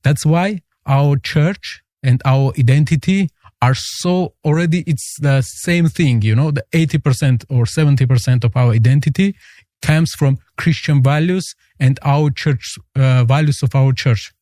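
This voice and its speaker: A man with an Armenian accent, a guest answering interview questions in earnest, halting English. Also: medium pitch, mellow, no room sound, studio quality. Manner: earnest, halting, searching for words.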